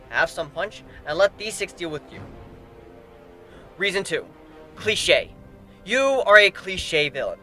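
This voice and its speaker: Man playing a brash, sarcastic griffin warrior, lively. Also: sits high, voice pushed up. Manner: mocking, flippant, emphatic. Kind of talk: dramatic fan fiction reading. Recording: background music under the voice.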